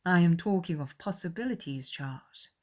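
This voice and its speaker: A woman's voice: on the low side, expressive, moderate pace, close to an everyday voice. A woman voicing a haughty, fawning young society lady in a dramatized period novel. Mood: gushing, superior.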